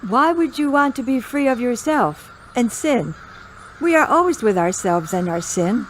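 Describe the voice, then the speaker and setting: Crows and background noise surround an actress as an indignant elderly woman in an audio drama. Her voice is high and her manner curt and crotchety. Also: expressive, normal speed, close to an everyday voice. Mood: guarded.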